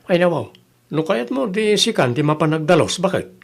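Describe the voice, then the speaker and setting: A man's voice: fairly high pitch, big pitch swings, normal speed, vocal fry, heavily acted. A man with a Filipino accent playing an exasperated, nagging village father in a comedy skit. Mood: scolding. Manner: nagging, reproachful. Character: exasperated, scolding village father, fed up with freeloaders. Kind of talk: Ilocano comedy skit acting.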